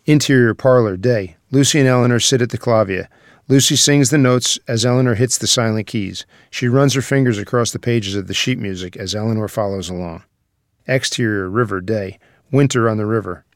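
A man's voice: fairly low pitch, dry recording, studio quality. A man reading stage directions as scripted narration for a screenplay table read.

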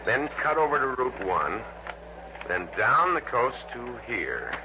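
An actor playing a businesslike American insurance man in a 1960s radio drama, explaining things deliberately and matter-of-factly. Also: medium pitch, sing-song. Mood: businesslike briefing. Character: businesslike male insurance office man briefing an investigator. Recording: noisy, dull lo-fi old radio recording.

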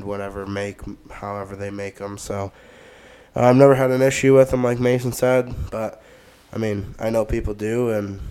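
A man's voice: lowish voice, clean tone. Stuffy-nosed man chatting casually. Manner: relaxed, rambling, many fillers. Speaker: podcast co-host. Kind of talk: casual gear talk.

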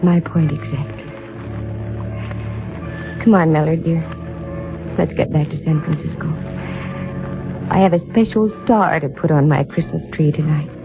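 An actress playing a worldly, affectionate female detective in a 1950s radio drama, poised and deliberate. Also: sits low. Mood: tender, earnest. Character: worldly, warm-hearted female private detective. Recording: tinny old radio recording with music.

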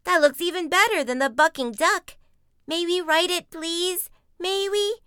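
A woman voicing a pushy, excitable young boy bear cub in a children's storybook, whining and pleading. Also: very high voice, takes it slowly, no echo, clean studio sound, pitched above the performer's own voice, full character voice. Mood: excited pleading.

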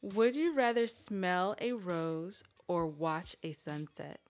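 A woman's voice: fairly low pitch, expressive, takes it slowly. A woman from California with an American accent, hosting a casual podcast with playful, teasing banter. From California, United States. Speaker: podcast host asking questions.

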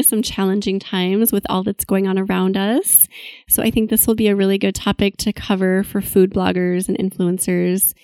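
A woman's voice: mid-range voice, darkish tone, clear, resonant tone, no echo, clean studio sound. A woman hosting an interview podcast, introducing her guest with enthusiasm. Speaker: podcast host.

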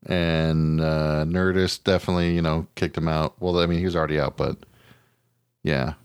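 A man's voice: rumbling low, normal speed, clear, resonant tone, no room sound, studio quality. An American man, middle-aged, co-hosting a casual podcast, telling stories bluntly with plenty of swearing and mimicked quotes. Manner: blunt, profane, animated storytelling with mimicked quotes. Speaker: podcast co-host. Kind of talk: casual chat.

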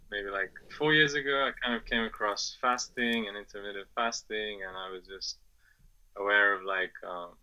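A man's voice: lowish voice, sharp tone, muffled recording. A man in his twenties from Afghanistan who grew up in England, answering as a podcast guest with many fillers and restarts. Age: 20s. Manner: hesitant, many fillers and restarts, laughs often. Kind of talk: casual interview answers.

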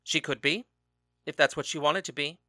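A steady, soothing husband, as read by a man, answering in even, reassuring words. His voice is bright and close, with a dry, roomless sound. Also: fairly high pitch, normal speed, dry recording. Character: calm, steady husband, a fighter and musician.

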